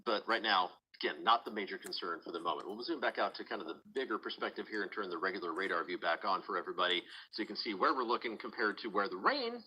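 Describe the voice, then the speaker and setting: A man's voice: lowish voice, lively intonation, fast talker, few pauses, bright, forceful tone, breathy edge, poor audio. A man working as a TV meteorologist, giving live severe weather commentary in a conversational, explanatory way.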